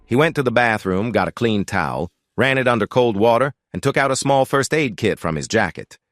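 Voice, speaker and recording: A male narrator reading scripted fiction. His medium-pitched voice moves with lively intonation, recorded very dry and close. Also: a little fast, dry recording, soft background music.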